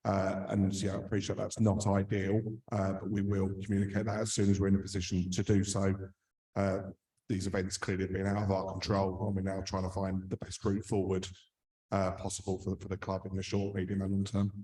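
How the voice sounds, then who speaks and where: A man's voice: sits low, monotone, fairly quick, warm tone. A man losing his voice, answering questions at a meeting in a careful, businesslike way. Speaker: managing director. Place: meeting hall. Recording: echoey hall from far off.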